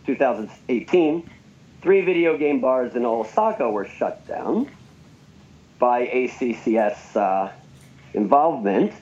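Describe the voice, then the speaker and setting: A man being interviewed about his bar, explaining in a measured, hedging way. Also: medium pitch, expressive, a little mellow. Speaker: bar owner and guest. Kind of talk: interview answers. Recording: muffled remote line with faint noise.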